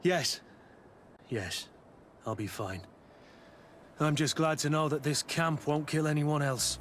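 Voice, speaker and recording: An actor playing a weary, loyal veteran soldier in a video game, speaking in an earnest, measured way. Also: male voice, a little high, expressive, a bit bright, a little hiss or hum. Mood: resolute, weary. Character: weary, loyal veteran mercenary soldier, plain-spoken and resolute. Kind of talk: video game dialogue acting.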